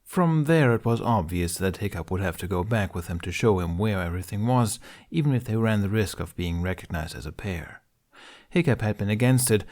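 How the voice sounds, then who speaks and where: A man's voice: fairly low pitch, a little mellow. A man reading scripted fiction aloud as an amateur audiobook narrator.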